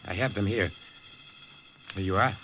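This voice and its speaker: A male actor plays an undercover spy passing as a German lieutenant in vintage radio drama. The voice is low and guarded, with clipped, careful delivery over a faintly noisy old recording. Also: unhurried, lightly acted. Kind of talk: 1950s radio drama acting.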